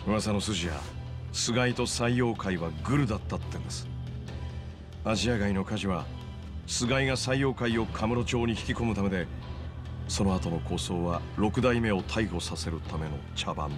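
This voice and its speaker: A man plays an earnest, loyal informant in his thirties from a video game, talking things through in a fairly low voice. He explains patiently, with music underneath. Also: moderate pace, lightly acted. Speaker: loan business owner. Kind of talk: video game cutscene dialogue. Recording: game audio with music behind.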